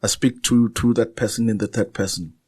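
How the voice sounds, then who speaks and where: A man's voice: on the low side, fairly even intonation, brisk, darkish tone, slightly rough. A man, a Zulu speaker, chatting as a guest writer in a casual interview, thoughtful and anecdotal, often restarting his sentences.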